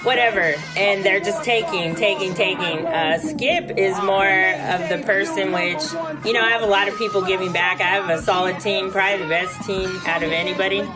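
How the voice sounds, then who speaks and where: Chatty woman co-host with a soft voice. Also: mid-range voice, normal speed, hardly any pauses, over loud music, poor audio. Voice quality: soft, weak voice. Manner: chatty, animated storytelling. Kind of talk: casual studio chat. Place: studio.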